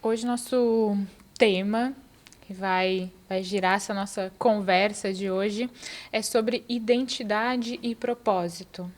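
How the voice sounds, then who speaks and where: A woman's voice: medium pitch, fairly even intonation, slow. A woman co-hosting a casual podcast who sets out the topic emphatically and asks the others questions. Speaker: podcast co-host. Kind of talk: casual chat.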